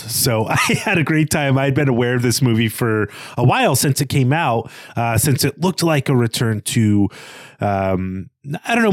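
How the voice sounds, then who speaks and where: A man's voice: on the low side, dry recording. A man co-hosting a podcast, discussing casually with thoughtful, slightly hesitant phrasing. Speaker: podcast co-host.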